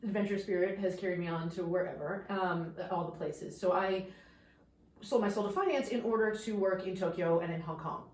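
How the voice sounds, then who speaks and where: Thirties woman from New York, candid storyteller, lowish voice, a bit distant in an echoey room. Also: brisk. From New York suburbs. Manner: candid, reflective, animated at times. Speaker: guest, life coach. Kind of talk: personal storytelling in interview. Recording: echoey room, a bit off-mic, faint noise.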